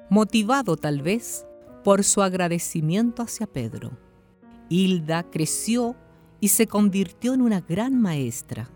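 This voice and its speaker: A woman's voice: on the low side, darkish tone. A woman narrating a radio drama in a scripted storytelling style. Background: music.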